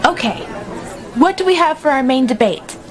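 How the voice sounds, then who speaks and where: An actress in an amateur radio drama playing a tired, stressed young student, subdued in manner. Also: female voice, high-pitched, lively intonation, moderate pace, crowd noise.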